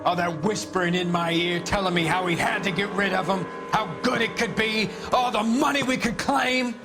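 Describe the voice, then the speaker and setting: A bitter, menacing American crook in a crime game. His voice is high for a man and has a sneering edge, with clear music behind it. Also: fairly even intonation, moderate pace. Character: betrayed, bitter small-time killer cornered with a gun. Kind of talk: dramatic video game acting.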